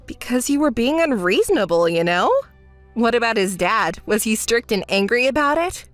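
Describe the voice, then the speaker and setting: A woman voicing a calm, fed-up young woman who answers in a level, dry, scolding way in a dramatized story. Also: on the high side, normal speed, dry recording, soft background music, voice pushed down, natural voice.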